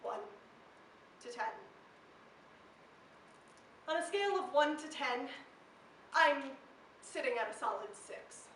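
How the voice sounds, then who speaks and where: High-voiced teenage girl performing slam poetry, echoey room. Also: animated, slow, pauses often. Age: teenager, seventeen. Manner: impassioned, emphatic, dramatic delivery with swells and hushes. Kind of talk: spoken-word slam poetry recital. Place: live poetry slam venue, indoors. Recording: echoey room, distant mic, faint noise.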